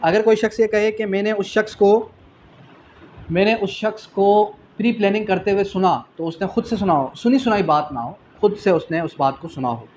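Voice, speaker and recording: A man with a Pakistani accent teaches a revision class in a slightly high voice. He asks rhetorical questions, answers them himself and repeats key phrases, switching to English for technical terms. There is some noise behind him. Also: normal speed, darkish tone. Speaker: law teacher. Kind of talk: exam revision lecture.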